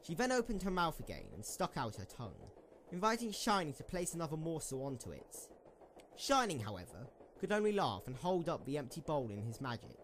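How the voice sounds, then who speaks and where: A man's voice: on the high side, big pitch swings, fairly quick, fairly bright tone. A man narrating a scripted story as part of an amateur dramatic reading. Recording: slightly noisy amateur microphone recording.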